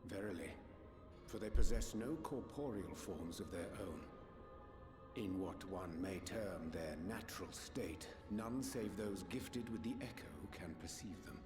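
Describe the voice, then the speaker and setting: A learned sage from a fantasy game, grave and formal. His voice sits very low, and the archaic phrasing is carefully measured. It rings in a roomy space over a clear musical score. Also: music in the background. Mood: grave, deliberate. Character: solemn, learned male sage speaking archaic English. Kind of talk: theatrical fantasy game voice acting.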